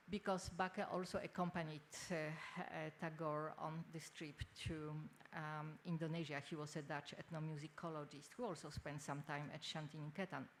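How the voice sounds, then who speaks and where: An older woman with a Polish accent speaks in a slightly low voice as she delivers an academic lecture. Her phrasing is formal and halting, with frequent hesitations. The live symposium recording carries faint noise. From Poland. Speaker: academic speaker, textile researcher. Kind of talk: conference lecture. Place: indoor symposium venue.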